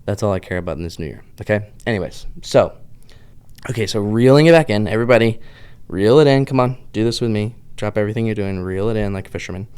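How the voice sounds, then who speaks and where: Smooth-voiced rambling Pittsburgh man. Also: on the low side, quick pace, darkish tone. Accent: Pittsburgh with Southern US touches. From Pittsburgh, USA. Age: 30s. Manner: chatty, tangent-hopping, lots of "like". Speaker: vlogger host. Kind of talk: casual rambling monologue.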